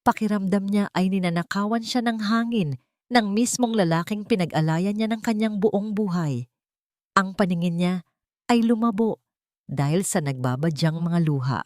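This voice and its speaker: A woman's voice: mid-range voice, moderate pace, a little mellow, no room sound, studio quality. A woman storyteller giving a solemn, emotive scripted narration of a dramatic love story.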